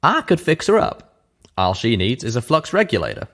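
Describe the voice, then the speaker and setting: A man's voice: medium pitch, wildly swooping pitch, normal speed, a bit bright, pitched above the performer's own voice, full character voice. A man voicing a folksy male pegasus pony tinkerer. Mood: eager, proud. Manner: folksy drawling speech with country slang.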